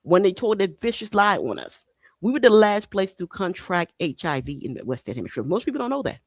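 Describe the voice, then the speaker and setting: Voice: mid-range voice, big pitch swings, fairly quick, no echo, studio quality. A podcast host in their forties, originally from Haiti, delivering an impassioned monologue full of rhetorical questions and repeated phrases.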